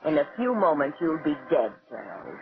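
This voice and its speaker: An actress playing a scheming wife, solicitous on the surface and edgy underneath, in theatrical 1940s radio drama style. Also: female voice, low voice, sing-song, normal speed. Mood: cold, startled. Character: scheming wife, nervously feigning sweetness to her husband. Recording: dull, hissy 1940s radio recording.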